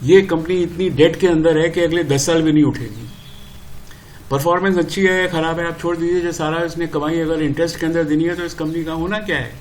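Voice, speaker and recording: Indian male educator, slightly high voice, exasperated and opinionated explainer, informal, faint background noise. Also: fairly even intonation, fairly quick, poor audio. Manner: exasperated, opinionated, uses rhetorical questions and repetition. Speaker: stock market educator. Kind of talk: informal explanatory commentary with Hindi-English code-switching.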